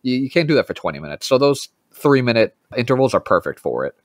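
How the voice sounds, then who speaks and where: A man co-hosting a podcast in casual chat, animated and wry, quick to push with questions. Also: medium pitch, no echo, studio quality. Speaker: podcast co-host.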